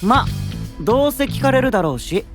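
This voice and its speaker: An actor playing a cheeky, self-assured young knight, an older brother with a breezy, teasing way of talking, in an anime audio drama. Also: male voice, extremely high pitch, very animated, moderate pace, over music. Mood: breezy, smug.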